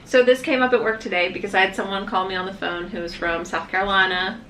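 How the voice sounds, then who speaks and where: Bright, chatty woman in an echoey room. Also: medium pitch, steady tone, fast talker. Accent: faint Southern American. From American South. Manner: chatty and teasing. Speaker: vlogger. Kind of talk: casual chat. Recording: echoey room with faint noise.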